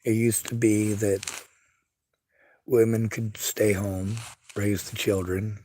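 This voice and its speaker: A man's voice: on the low side, little pitch movement, takes it slowly, dark tone, slight background noise, rough recording. A man livestreaming, reading viewer chat and speaking bluntly and earnestly in a casual monologue. He has a stutter and a speech impediment.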